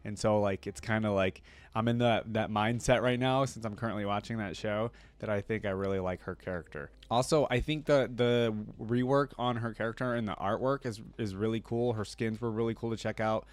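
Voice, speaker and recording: A man hosting a reaction video, chatting casually and thinking out loud with frequent restarts. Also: lowish voice, steady tone, fairly quick, no room sound. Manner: rambling, thinks aloud with restarts.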